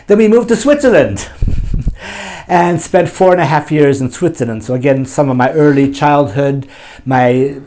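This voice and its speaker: A man talks in a measured, unhurried way, pausing on fillers as he recounts his past. His voice sits at an average pitch for a man. He answers as a church mission director in a TV interview. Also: warm tone.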